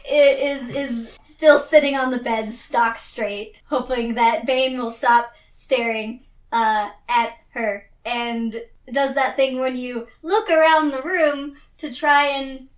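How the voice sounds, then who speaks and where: A woman's voice: a little high, steady tone, slow. A giggly woman improvising as a player in a tabletop roleplaying game, often breaking into laughter. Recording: roomy, distant mic.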